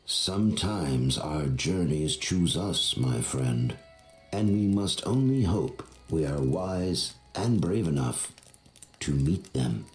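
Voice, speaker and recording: A solemn storyteller narrates a fantasy game. The voice is extremely low and unhurried, measured, with a roomy echo. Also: male voice, animated, faint music behind, full character voice. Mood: sombre. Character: solemn male storyteller of heroic fantasy tales. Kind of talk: scripted video game narration.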